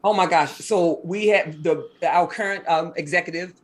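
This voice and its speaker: Woman guest, 50s, from Maryland: deep husky voice, quick animated storytelling, casual interview tone. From Maryland, USA. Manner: fast-talking, animated storyteller.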